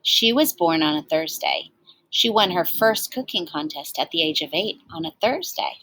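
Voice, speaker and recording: A woman reading a children's storybook aloud in an expressive, animated way, like a teacher talking to young listeners. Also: deep voice, moderate pace, rough recording.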